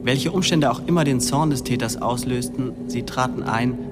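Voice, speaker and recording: A man's voice: medium pitch, normal speed, loud music behind the voice, close to an everyday voice. A man playing a criminal profiler reading a formal, matter-of-fact report in a radio drama. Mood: clinical.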